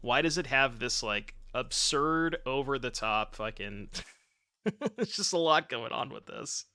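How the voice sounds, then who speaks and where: Bright-voiced joking male streamer. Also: medium pitch, expressive, measured pace. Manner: joking, chatty, answers chat while playing. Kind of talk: casual gameplay commentary reading chat. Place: home streaming room. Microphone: desk mic with webcam.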